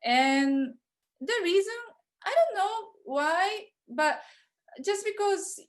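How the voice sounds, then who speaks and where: A woman artist from Japan, whose first language is Japanese, talking over a video call in a halting, filler-heavy way. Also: very high voice, lively intonation. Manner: hesitant, many fillers and restarts. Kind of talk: virtual studio visit talk.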